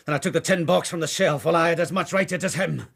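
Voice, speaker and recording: A man acting in a radio drama as a rough, sardonic whaling harpooner, gravelly and husky, with blunt sailor's talk. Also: on the high side, fast talker, a bit bright, heavily acted. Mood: defiant, wry.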